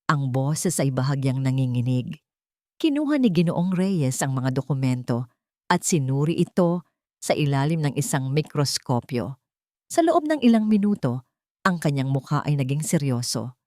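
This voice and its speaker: Female narrator for dramatic audio fiction, low register, dry close sound. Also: sing-song, normal speed, warm tone, dry recording. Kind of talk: scripted dramatic first-person narration.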